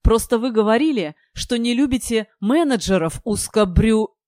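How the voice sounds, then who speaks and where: A narrator puts on a young man for an audiobook, nervous and easily flustered. The delivery is halting, eager and pleading. It is recorded dry. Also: medium pitch, lively intonation, darkish tone, no echo, voice pushed up, natural voice.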